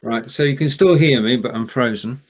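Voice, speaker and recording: The audio is slightly dull and lo-fi, from an online call. A man from England with a medium-pitched voice hosts casually, explaining his points in a stop-start way. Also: normal speed, breathy edge. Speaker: show host and producer. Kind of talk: casual chat. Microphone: live streaming video call.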